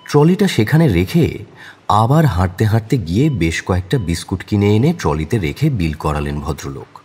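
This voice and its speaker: A man's voice: fairly low pitch, dark tone, husky. A man narrating a crime audio story in a dramatic, scripted storytelling style.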